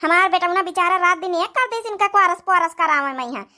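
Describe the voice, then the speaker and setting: A woman's voice: very high-pitched, fairly quick, bright, forceful tone. A woman voicing a scolding old village mother-in-law in a cartoon comedy, complaining in a rustic Awadhi accent. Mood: wailing complaint.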